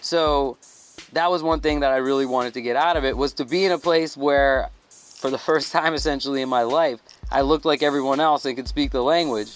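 A man from Thailand telling a travel story in a loose, animated way as a podcast guest. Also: mid-range voice, fairly quick, faint music behind. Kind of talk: casual storytelling.

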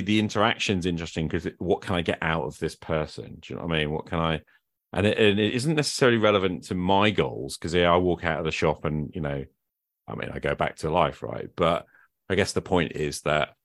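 A man's voice: deep voice, fairly bright tone, no room sound, clean studio sound. A British man chatting casually in an informal, inquisitive way, often checking that his listener follows. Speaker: podcast conversation partner. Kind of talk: casual chat.

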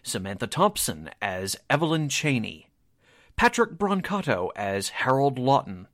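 A man's voice: medium pitch, very animated, a little slow, a bit bright, no room sound, clean studio sound. A man working as announcer, reading scripted closing credits for a radio drama.

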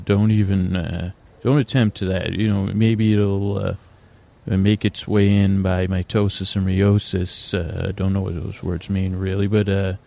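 A man hosting a sleep podcast, talking in a meandering, halting way full of restarts and fillers, recorded on location in a quieter corner of an airport. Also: on the low side, creaky. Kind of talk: rambling bedtime podcast talk.

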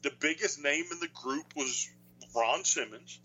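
A middle-aged man with a deep voice, co-hosting a casual chat and telling stories with wry jokes. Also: a little slow, bright, forceful tone, highs cut off, a little hiss or hum. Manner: storytelling, wry, joking. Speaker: podcast co-host. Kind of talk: casual chat about wrestling.

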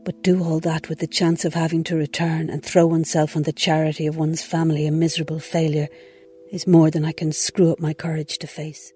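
A man reading a historical text aloud in a measured, solemn way. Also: fairly high pitch, monotone, mellow, faint music behind.

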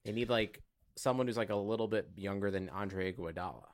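A man's voice: on the low side, brisk, no echo, studio quality. A man co-hosting a casual sports podcast, talking in informal, sweary, bro-like slang. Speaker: podcast co-host.

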